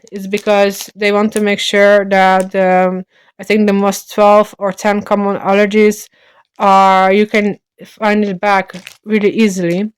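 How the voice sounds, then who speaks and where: A young woman talks to her viewers in a loose, chatty way. Her voice is smooth and clear at a middle pitch. She wanders through her points with plenty of restarts and "like" and "um.". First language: Slovak. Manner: chatty, rambling with many restarts and fillers. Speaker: lifestyle vlogger. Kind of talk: casual chat to camera.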